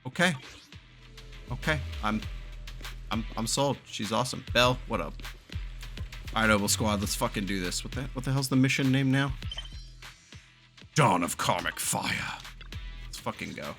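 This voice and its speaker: A man giving casual, excitable reaction commentary while playing a video game, swearing freely. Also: on the low side, moderate pace, long pauses. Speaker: game streamer reacting to a first playthrough. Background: faint game music.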